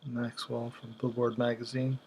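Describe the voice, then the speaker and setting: A man giving casual, unscripted commentary as a video host, speaking haltingly as he thinks aloud. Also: medium pitch, completely flat delivery, a little mellow, slight background noise.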